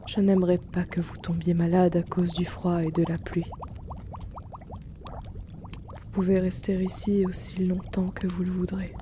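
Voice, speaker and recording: A soft-spoken, courteous male herbalist shopkeeper, as an ASMR performer plays him, taking his time over every word. The voice is very dark and mellow, and it sometimes sinks to a whisper. Faint noise lies behind it. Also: medium pitch, lightly acted. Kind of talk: ASMR roleplay.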